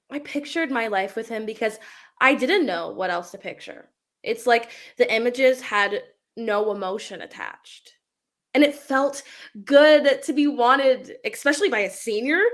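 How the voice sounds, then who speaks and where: Earnest teenage girl, acted by a woman, confiding and guilt-ridden, fairly high voice, for a staged script reading. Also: fairly bright tone. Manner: earnest, unburdening.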